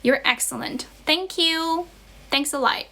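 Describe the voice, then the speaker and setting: A young woman with a Chinese accent tinged with American, her voice sitting a little high. She chats to her livestream viewers in a friendly, encouraging way, repeating herself as she teaches English from a relative's house. A faint hum of room noise sits behind her phone mic. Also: unhurried, gravelly, sharp tone. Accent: Chinese, with American influence. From China. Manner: chatty, encouraging, switches between English and Chinese, repeats phrases. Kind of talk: casual livestream chat to viewers.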